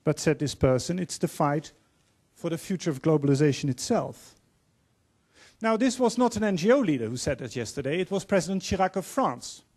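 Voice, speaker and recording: A man in his fifties with a Dutch accent, giving an earnest, measured opening speech as panel moderator in a large auditorium, holding a handheld mic. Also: on the high side, very animated, a little mellow. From Netherlands. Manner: earnest, measured, quoting speakers.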